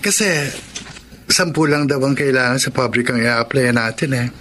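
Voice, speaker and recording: A man's voice: medium pitch, moderate pace, pushed and bright, slight background noise, close to an everyday voice. An actor playing a casual, easygoing young male job seeker in a Tagalog radio drama.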